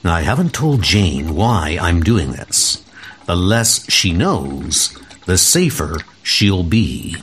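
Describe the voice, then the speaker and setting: A man's voice: low-pitched, big pitch swings, slow pace, a bit bright, a little hiss or hum, pitched below the performer's own voice, heavily acted. A man playing a frail, lame doctor in a comic audio drama, earnest and self-doubting. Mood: resolute.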